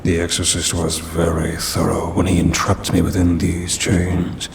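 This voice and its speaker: A man's voice: completely flat delivery, a little slow, vocal fry. A man playing a smug, ancient demon trapped in a cursed necklace in an ASMR roleplay, with haughty, teasing, old-fashioned speech. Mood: puzzled, indignant. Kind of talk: ASMR audio roleplay acting. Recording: rumbling rain and thunder noise.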